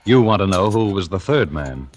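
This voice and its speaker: An actor in a 1940s radio thriller playing a coolly calculating, murderous husband, measured and sardonic in manner. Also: male voice, low voice, sing-song. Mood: coolly menacing. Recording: noisy, clicking old radio recording.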